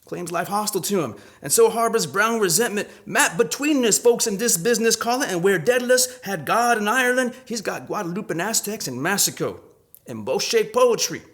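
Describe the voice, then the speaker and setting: Male poet from Arizona, slightly high-pitched, for readings and talk on his own poetry. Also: expressive, normal speed, a bit bright. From Arizona, United States.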